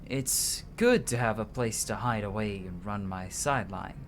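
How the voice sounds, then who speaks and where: A man's voice: fairly low pitch, normal speed, slight background noise. A man doing casual let's-play commentary, informal and sweary, reading game dialogue aloud as he plays. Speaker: game streamer.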